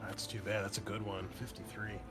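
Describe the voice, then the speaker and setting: A man's voice: fairly low pitch, flat delivery, a little fast, fairly bright tone, faint music behind. A man working as game master, narrating a tabletop roleplaying game in an informal, wry and exclamatory way.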